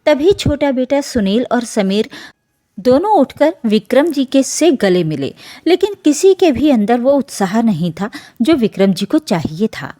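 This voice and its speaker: Female narrator for emotional family tales: higher-pitched, very animated intonation, soft mellow tone, scripted and dramatic. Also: unhurried, no room sound, clean studio sound. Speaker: story narrator.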